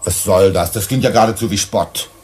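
A man's voice: lowish voice, fairly quick, breathy edge. A man playing a brusque, authoritarian, irascible mining director on Venus in a German radio drama. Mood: indignant. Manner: brusque, commanding, indignant.

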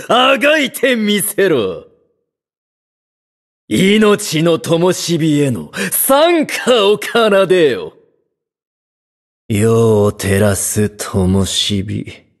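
Slow, husky, seductive demon. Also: male voice, low-pitched, heavily acted. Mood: mocking, languid. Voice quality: breathy, husky. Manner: languid, taunting, theatrical. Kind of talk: game character voice lines.

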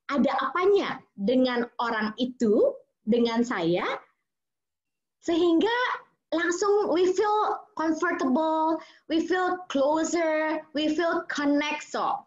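A woman's voice: high-pitched, lively intonation, slow pace. A woman with an Indonesian accent, a child psychologist giving an interactive parenting webinar, switching between English and Indonesian with many fillers. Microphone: video call. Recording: muffled video call audio.

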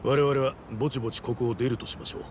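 An actor playing a polished, composed fixer in a game, speaking politely with dry wit. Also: male voice, medium pitch, fairly even intonation, slight background noise, close to an everyday voice. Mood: cool, wry. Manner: polite, measured, wry. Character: polished, composed male fixer, dryly courteous.

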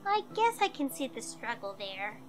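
A woman voicing a spirited, feisty young female royal in an animated drama, frank in manner. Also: on the high side, normal speed, over music. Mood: frank, sympathetic.

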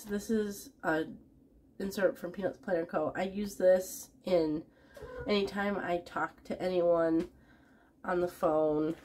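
Young woman, fighting off a cold, chatty home vlog, lots of ums, lower-pitched, faint room hum behind. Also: a little mellow. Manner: chatty, many ums and restarts. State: getting over a cold. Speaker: planner vlogger. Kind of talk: casual planner flip-through. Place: home, at a desk.

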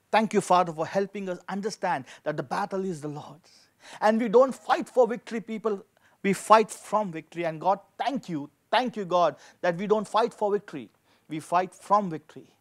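A man's voice: sits high, lively intonation, normal speed. A man in his forties preaching a sermon as a pastor, emphatically, repeating key phrases for effect. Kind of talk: sermon with scripture reading and prayer. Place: indoor studio with dark backdrop, at a lectern. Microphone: handheld microphone.

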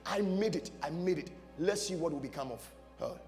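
Male pastor, higher-pitched, emphatic sermon storyteller in a reverberant hall. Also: normal speed, darkish tone. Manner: emphatic, repeats short phrases, acts out dialogue. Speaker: pastor preaching. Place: large church stage before a seated audience. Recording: music behind, long hall reverb.

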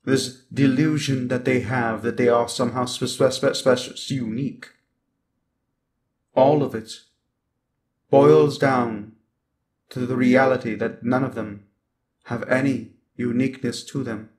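Man acting a slow, glitching evil robot. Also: mid-range voice, steady tone, darkish tone, natural voice. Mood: cold contempt. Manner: deliberate, glitching repetitions of syllables and words. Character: evil sentient robot, cold, menacing, contemptuous of humans. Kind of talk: scripted ASMR roleplay monologue.

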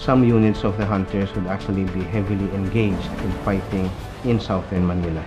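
A man giving a measured, factual historical explanation in a documentary interview. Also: deep voice, fairly even intonation, music in the background.

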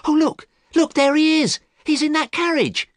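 A man's voice: a little high, sing-song, normal speed, no echo, studio quality, pitched above the performer's own voice. A man voicing a romantic, hopeful young actress in an audiobook, in a sweet, gushing manner. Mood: excited.

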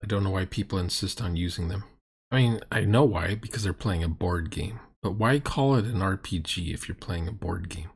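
Husky, low-voiced man giving sarcastic commentary. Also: fairly quick. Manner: exasperated, sarcastic. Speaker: reaction video host critiquing a role-playing session. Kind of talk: unscripted critical commentary.

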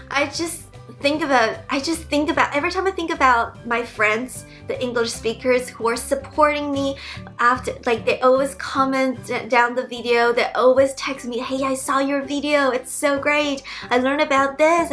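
A woman's voice: high voice, steady tone, moderate pace, a bit bright, soft background music. A young woman with a Mandarin Chinese accent, talking through a Q&A in a casual, earnest way and often restarting her sentences. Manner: chatty, earnest, with frequent restarts. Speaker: YouTuber and PhD student. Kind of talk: casual Q&A vlog talk. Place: bedroom, indoors.

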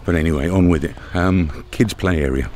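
A man's voice: low-pitched, a little fast, a little mellow. A middle-aged British man chatting casually to camera as a travel vlogger, outdoors at a campsite. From Britain. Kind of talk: casual vlog chat to camera. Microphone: camera at arm's length. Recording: outdoor camera audio with background noise.

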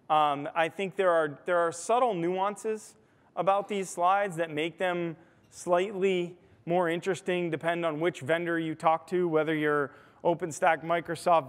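An American man giving a conversational conference keynote, explaining points and often checking in with "right?", recorded on a lapel mic in a large ballroom. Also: on the high side. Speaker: technology executive giving a keynote. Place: large hotel ballroom stage, indoors. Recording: reverberant hall, amplified stage sound.

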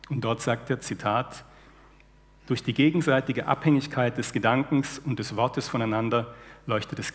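A man in his forties, a literary translator, explains his ideas on a panel in a thoughtful, lecture-like way with dry irony, speaking into a headset mic on a stage before an audience. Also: medium pitch. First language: German. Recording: long hall reverb.

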